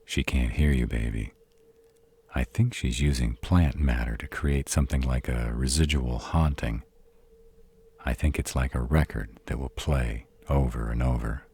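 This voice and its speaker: A man playing a protective, tender were-dragon lover in an intimate audio roleplay, with a husky voice and a slow, comforting murmur. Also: a little mellow, exaggerated voice. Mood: gentle, explaining. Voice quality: very deep, husky.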